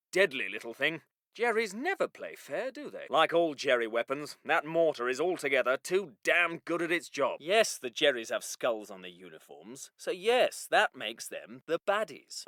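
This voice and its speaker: A man's voice: sits high, bright, forceful tone, dry recording, studio quality. A man playing a young, polite, easily flustered British wartime army medic in a video game, with a plummy upper-class English accent and old-fashioned manners. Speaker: voice actor. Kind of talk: video game unit voicelines.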